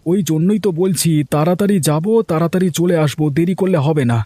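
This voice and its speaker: A man doing a brisk, brusque village husband. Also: a little high, fairly even intonation, warm tone, no room sound, clean studio sound, close to an everyday voice. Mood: hurried.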